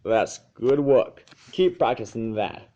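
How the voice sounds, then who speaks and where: Man voicing a slow-talking farm stallion. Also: mid-range voice, expressive, warm tone, dull audio. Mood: patient, approving. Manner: slow, measured, folksy drawl. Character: slow, considered farm stallion pony, steady and encouraging.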